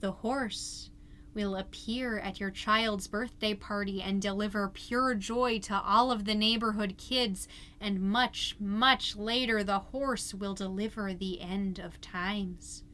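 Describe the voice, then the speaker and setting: A woman playing a solemn reader who delivers a mock-inspirational essay in a hushed, deliberate, portentous way. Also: mid-range voice, steady tone, slight background noise. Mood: solemn, ominous. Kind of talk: mock-solemn dramatic reading.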